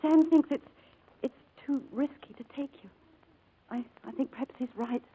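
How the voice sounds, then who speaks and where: Actress as an effusive young sweetheart, soft-toned. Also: female voice, high voice, moderate pace, a little hiss or hum, natural voice, rough recording. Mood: anguished, pleading. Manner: effusive, pleading, earnest. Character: devoted, tender, spirited young woman in love. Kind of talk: 1940s radio drama acting. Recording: old radio broadcast with music behind.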